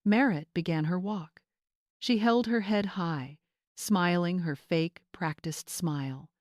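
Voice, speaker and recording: Low-pitched woman narrating dramatic fiction. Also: takes it slowly, darkish tone, no room sound, studio quality. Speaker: story narrator.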